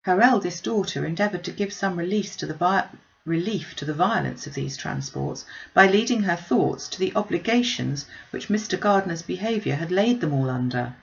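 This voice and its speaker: A woman reading a classic novel aloud as an expressive storyteller. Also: lowish voice, moderate pace, vocal fry, muffled recording. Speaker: audiobook reader.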